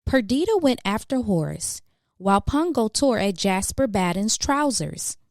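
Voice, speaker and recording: A woman narrating a children's storybook expressively, with measured, dramatic emphasis for young listeners. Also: medium pitch, sing-song, a little mellow, no room sound, clean studio sound. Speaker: children's book reader.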